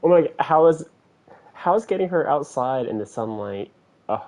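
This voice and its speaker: A man's voice: a little high, lively intonation, normal speed, a little mellow. A man who streams games, giving casual live commentary in a slangy, joking way. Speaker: game streamer. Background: game music.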